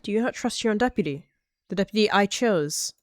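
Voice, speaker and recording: A woman's voice: mid-range voice, expressive, brisk, no room sound, clean studio sound, pitched above the performer's own voice, natural voice. Woman as a composed, dismissive cat clan leader. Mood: firm. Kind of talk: dramatic animal roleplay.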